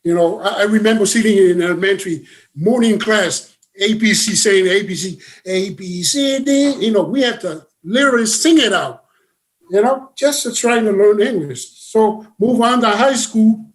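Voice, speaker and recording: High-voiced man, halting talk. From Samoa. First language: Samoan. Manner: halting, full of uh's and restarts. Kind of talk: casual conversation.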